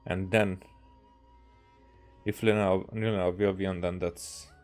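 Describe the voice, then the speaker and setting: Low-voiced Hungarian man, halting game commentary. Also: level tone, a little mellow, faint music behind. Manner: halting, musing aloud. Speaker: game streamer. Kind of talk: casual gameplay commentary.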